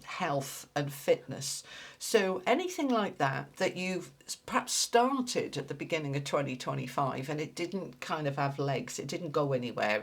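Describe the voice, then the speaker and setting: A woman giving a casual tarot reading, chatty and reassuring, a little nasal from a cold. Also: sits low. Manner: chatty, reassuring, thinks aloud while pulling cards. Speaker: tarot reader.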